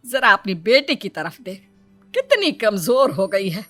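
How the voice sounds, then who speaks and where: Woman acting a elderly Indian mother-in-law, doting yet scolding, bright forceful voice, exaggerated exclamations, music behind, cartoon family drama. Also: mid-range voice, sing-song, unhurried. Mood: scolding, complaining. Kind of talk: Hindi family story cartoon dialogue.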